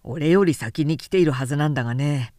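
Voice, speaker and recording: A woman narrator voicing brisk, easygoing men with casual, blunt speech in a literary audiobook. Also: low-pitched, warm tone, no echo, studio quality, voice pushed down, close to an everyday voice. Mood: brisk, relaxed. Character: brisk, easygoing elder brother directing servants and friends.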